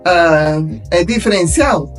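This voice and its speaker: An older woman from Belgium speaking with a foreign accent as an interview guest, telling her story haltingly in a learned language. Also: on the low side, expressive, faint music behind. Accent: foreign accent in Portuguese, from Belgium. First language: a language of Belgium, not Portuguese. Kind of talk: personal storytelling in a podcast interview.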